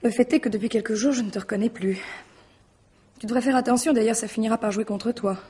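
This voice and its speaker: An actress in a French radio mystery drama, playing a suspect's anxious mistress with an intimate, pleading, reproachful manner. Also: female voice, on the high side, fairly even intonation, fast, dark tone, husky. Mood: concerned.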